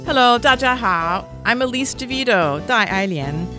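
A woman's voice: fairly high pitch, lively intonation, moderate pace, over music. A woman hosting a radio programme in a studio, interviewing guests in an enthusiastic, encouraging way. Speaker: radio show host.

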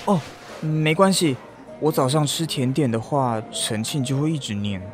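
A man's voice: medium pitch, moderate pace, close to an everyday voice. A performer voicing a shy, easily flustered young man in a Mandarin audio drama, kindly and hesitant, with a Taiwanese accent. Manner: hesitant, stammers when flustered. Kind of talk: Mandarin radio drama acting. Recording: music bed under the voice.

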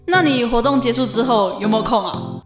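A high girlish voice with music behind it, a woman acting an outgoing teenage fan in an audio drama. She talks in a friendly, chatty, inviting way. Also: a little fast, natural voice. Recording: music under the voice.

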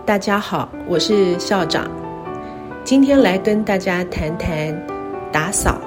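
A middle-aged woman with a Taiwanese Mandarin accent gives a scripted, reflective talk in measured, careful phrases. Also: fairly low pitch, expressive. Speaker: university president. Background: background music.

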